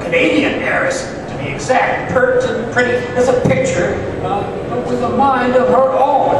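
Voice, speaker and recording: Older guy acting as fussy genial old storyteller, highish voice, radio play, way off in an echoey hall. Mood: genial, rambling. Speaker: actor playing the storyteller. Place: live stage reading in a large hall. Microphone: stand microphone through speakers, far camera. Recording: echoey hall from far off, audience noise.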